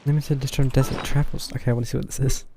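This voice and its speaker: A man's voice: medium pitch, little pitch movement, racing, mellow, a little hiss or hum. A man streaming a game, giving casual, offhand commentary in muttered asides. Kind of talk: casual live gameplay commentary.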